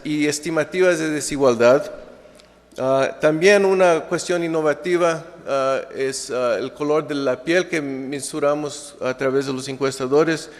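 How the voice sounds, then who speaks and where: A man giving an academic conference talk in a language that is not his first, with frequent fillers and restarts. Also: fairly high pitch. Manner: hesitant, many fillers and restarts; speaking a second language. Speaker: conference speaker. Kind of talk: academic presentation. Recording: reverberant lecture hall.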